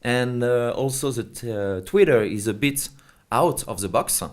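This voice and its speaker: Man explaining a product. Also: medium pitch. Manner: hesitant non-native English phrasing. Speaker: company representative being interviewed.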